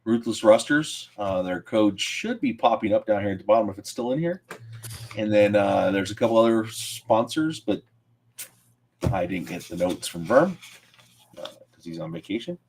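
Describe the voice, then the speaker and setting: A man's voice: on the low side, expressive, normal speed, darkish tone, a little hiss or hum. A man co-hosting a podcast in casual chat, plain-spoken and argumentative. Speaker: podcast co-host.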